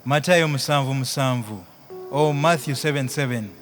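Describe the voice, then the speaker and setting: A man's voice: medium pitch, moderate pace. A man with a Ugandan accent performing live spoken word poetry, chanting and singing with theatrical repetition.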